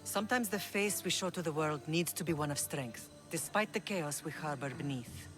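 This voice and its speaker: A woman playing a dignified older Greek mother who rules an island, grave and measured, in a video game drama. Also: low-pitched, music in the background, natural voice. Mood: commanding.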